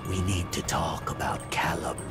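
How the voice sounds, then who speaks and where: An actor playing a sinister, mocking bogeyman of an amusement park in a horror game, theatrical and menacing, with a breathy, gravelly, half-whispered voice. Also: low voice, normal speed, music in the background, natural voice. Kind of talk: horror game villain acting.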